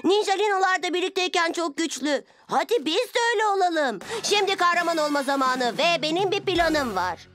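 A woman plays an earnest little boy lizard hero in a cartoon. The voice is very high and bright, emphatic and eager, with music playing behind it. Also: lively intonation, fairly quick, hardly any pauses. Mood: determined. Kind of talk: animated children's cartoon dubbing.

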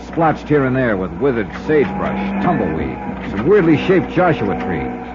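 An actor playing a tough, wry American insurance investigator in a 1950s radio drama, speaking and narrating in a clipped, dry manner. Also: male voice, medium pitch, big pitch swings, moderate pace, over loud music, close to an everyday voice. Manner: clipped, dry, hard-boiled. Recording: dull lo-fi 1950s radio recording.

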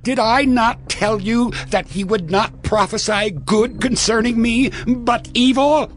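A man's voice: very high-pitched, a little slow, faint music behind. A man playing an imperious, resentful king in a dramatized audio Bible, speaking in a commanding, theatrical way.

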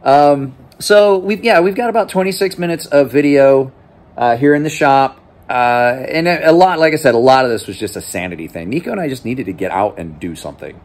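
A man's voice: mid-range voice, animated, brisk, a little mellow. Tired host, 40s male, rambling, casual phone-held vlog in a shop. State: exhausted. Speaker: knife channel host. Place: small cluttered office, then large workshop. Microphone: phone held at arm's length.

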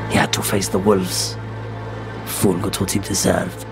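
A man's voice: low voice, little pitch movement, measured pace, gravelly, over music. An actor playing a callous, gossiping male cult follower in a video game. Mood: scornful. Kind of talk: video game character dialogue.

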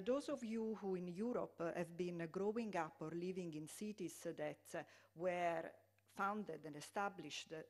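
A woman's voice: medium pitch, darkish tone. Italian woman lecturing with many fillers. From Italy. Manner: hesitant, many fillers and restarts. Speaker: public health lecturer. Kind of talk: conference lecture with slides.

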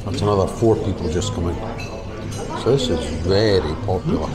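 A man's voice: deep voice, fairly quick, a loud crowd. A man in his sixties chatting casually over a meal as a vlogger, recorded on a clip-on mic in a restaurant. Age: 60s. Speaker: vlogger, host. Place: indoor restaurant, seated at a table. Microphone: clip-on lavalier on shirt. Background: loud music.

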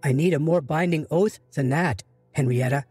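A man's voice: fairly high pitch, moderate pace, darkish tone, breathy edge, no room sound, faint music behind. A man voicing a bold teasing woman prisoner. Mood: curious.

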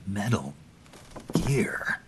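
An actor playing a gruff, terse soldier-spy hero in a video game, with a gravelly, husky voice. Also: male voice, lowish voice, big pitch swings, extremely slow, background noise, full character voice. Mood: curt, questioning. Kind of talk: dramatic video game cutscene acting.

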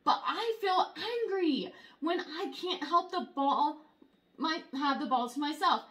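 A young woman reading a children's picture book aloud in an expressive, exaggerated storytelling way. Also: high voice, slow pace. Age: young adult. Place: small room at home, indoors.

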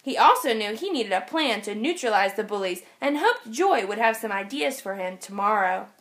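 A woman teacher reading a children's storybook aloud, expressively and with clear phrasing for young listeners. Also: medium pitch, very animated, moderate pace, a bit bright. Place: indoors, over an open picture book.

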